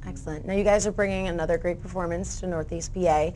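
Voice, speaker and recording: A woman's voice: lowish voice, steady tone, quick pace, dark tone, a little hiss or hum, poor audio. A woman conducting a casual interview, speaking in an encouraging, affirming way. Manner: encouraging, frequent affirming responses.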